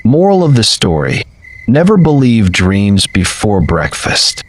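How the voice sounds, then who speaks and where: A dramatic, joking schoolboy friend from a cartoon tale, put on by a man. He teases in slangy phrases, with very lively pitch and a deliberate pace. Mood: mock-solemn. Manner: teasing, slangy.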